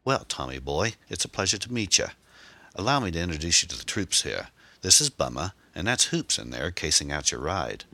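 A man's voice: low-pitched, very animated, a little fast, sharp tone, dry recording, full character voice. A man voicing a mockingly polite, menacing teenage English gang leader in an audiobook, chirpy and taunting. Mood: mock friendly.

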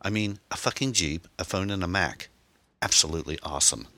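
A man's voice: sits low, fairly quick, sharp tone, no echo, pitched above the performer's own voice. A man voicing a mockingly polite, menacing teenage English gang leader in an audiobook, chirpy and taunting. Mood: gloating.

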